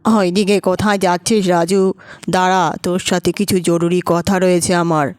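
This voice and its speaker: A woman's voice: on the low side, steady tone, moderate pace, a little mellow, clean tone, no room sound, clean studio sound, natural voice. A woman playing a stern, dismissive farm boss in a cartoon, speaking in a curt, commanding way. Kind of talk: cartoon dubbing. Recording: music behind the voice.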